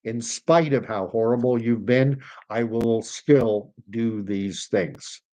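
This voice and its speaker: A man's voice: mid-range voice, takes it slowly, dark tone. A middle-aged man from Canada hosting a live stream, rambling with many fillers as he reads chat comments, his voice wearing out. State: losing his voice.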